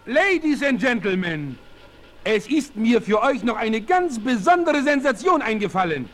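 A man's voice: very high voice, big pitch swings, a little slow, fairly bright tone, full character voice. An actor playing a boastful, daring circus showman and animal tamer, announcing grandly in a 1960s radio play. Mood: grandiose showmanship. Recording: vintage vinyl radio play, faint noise.

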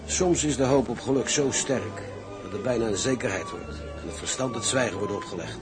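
A man's voice: mid-range voice, brisk, natural voice. Man acting as breezy, blunt sailor over music. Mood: blunt, frank. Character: breezy, blunt seaman, the captain's carefree old comrade. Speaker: actor in an audio drama. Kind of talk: dramatic dialogue. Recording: music behind the voice.

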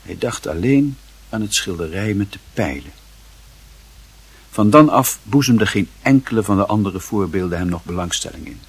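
A man narrating a literary radio play with measured, deliberate phrasing. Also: on the low side, expressive, husky. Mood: measured, wry. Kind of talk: scripted narration.

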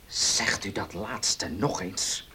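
A man's voice: lowish voice, slow pace, pushed and bright, husky, full character voice. An actor playing a proud, distrustful male heir in a Dutch radio play, speaking formally, bluntly and emphatically. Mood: stunned.

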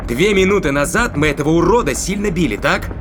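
An actor playing a ruthless, mocking spaceship captain in a science-fiction audio play, taunting and commanding. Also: male voice, on the high side, expressive, fast talker, noisy background. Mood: intent reasoning.

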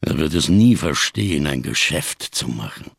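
An actor voicing a grave, scarred giant of a fugitive in a radio crime drama, speaking with ponderous, over-careful diction and a gravelly, husky voice. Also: male voice, very deep voice, sing-song, normal speed, a bit bright, no echo, clean studio sound, heavily acted. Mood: solemn, wry.

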